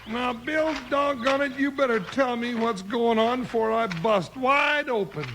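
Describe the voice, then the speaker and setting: An actor playing a folksy, excitable, comic deputy sidekick with a rural Western American twang in a 1950s radio western, blustering and stammering when flustered. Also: male voice, very high-pitched, measured pace. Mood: puzzled, excitable. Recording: old hissy 1950s radio recording.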